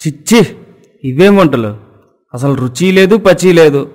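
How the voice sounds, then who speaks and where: A man's voice: fairly high pitch, expressive, unhurried, a little mellow, long reverb tail. A man playing a fussy, gluttonous village landlord in a cartoon story, talking in a bossy, demanding way. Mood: disgusted, irritated.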